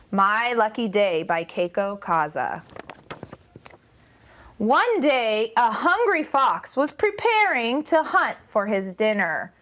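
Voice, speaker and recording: A woman's voice: mid-range voice, a bit bright, dull audio. A woman reading a storybook to young children as their teacher, explaining words and prompting them to join in. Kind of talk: interactive storybook read-aloud.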